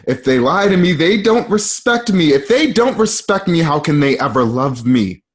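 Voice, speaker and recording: A man playing a vexed, insecure young Roman emperor in an amateur radio drama, theatrical and halting. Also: a little high, very animated. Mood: wounded, agitated.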